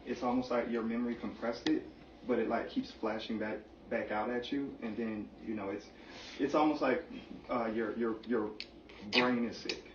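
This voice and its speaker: A man with a mid-pitched voice talks earnestly and haltingly, explaining something serious in simple words. He is recorded at a distance in an echoey room, with a slightly muffled sound. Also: steady tone, slight background noise. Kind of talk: serious personal conversation.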